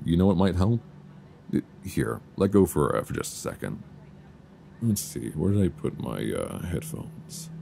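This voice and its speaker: A man playing an affectionate, reassuring boyfriend in an intimate, casual ASMR roleplay, speaking softly and soothingly close to a binaural mic. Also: very deep voice, creaky, full character voice. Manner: soft, intimate, unhurried, soothing. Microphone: close binaural microphone. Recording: faint background noise, binaural.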